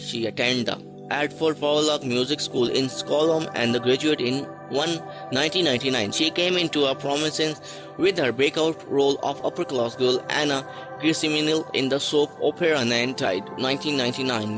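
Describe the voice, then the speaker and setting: A man narrating a list video from a script, reading haltingly with stray articles and restarts. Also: mid-range voice, over music, low-quality recording. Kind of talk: read-aloud list narration.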